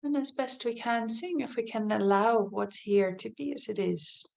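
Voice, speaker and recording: A woman leading a guided body scan meditation as a teacher, speaking gently and without hurry, in soft invitations with long pauses. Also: fairly high pitch, vocal fry.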